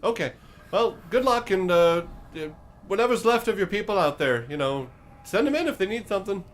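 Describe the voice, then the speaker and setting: A man voicing a rambling, awkward undead oracle creature in a tabletop game, halting and muttering asides. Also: sits high, lively intonation, slight background noise, voice pushed up. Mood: awkward, resigned.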